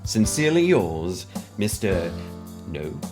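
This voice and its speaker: A man's voice: sits low, very animated, slow pace, music in the background, exaggerated voice. A man playing a pompous, mysterious conspiracy theorist in a formal, verbose, pedantic scripted monologue. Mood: self-satisfied, formal. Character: pompous mysterious male conspiracy-theorist scientist on a cassette letter.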